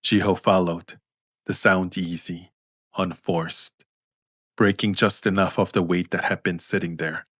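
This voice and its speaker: A man narrating scripted fiction in measured, deliberate phrases. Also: sits low, steady tone, a bit bright, slightly rough, dry recording, studio quality. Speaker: narrator, author reading own story.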